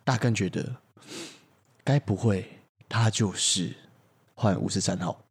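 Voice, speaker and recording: A man's voice: on the low side, unhurried, slightly rough. A man, casually improvising stories as a podcast storyteller.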